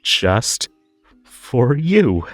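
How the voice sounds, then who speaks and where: A man playing a possessive magical tea-party host in an audio roleplay, over-polite and halting, with a menacing, unhinged edge under the sweetness. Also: mid-range voice, big pitch swings, no echo, soft background music, heavily acted. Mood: persuasive, obsessive. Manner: halting, drawn-out, over-polite, swinging between coaxing and stern. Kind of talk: scripted roleplay audio drama.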